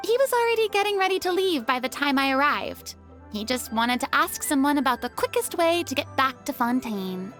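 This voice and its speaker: A woman's voice: sits high, very animated, brisk, fairly bright tone, faint music behind. A woman voicing a theatrical, breezy young female stage star in a game dub, with a dramatic, chatty delivery. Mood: breezy, self-assured. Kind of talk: English game dub voice acting.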